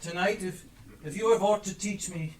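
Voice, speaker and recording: A man playing a shaken, penitent old miser in a live stage play, pleading in a halting, theatrical way. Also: sits high, steady tone, close to an everyday voice. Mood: humble, halting. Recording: echoey stage, distant mic, faint noise.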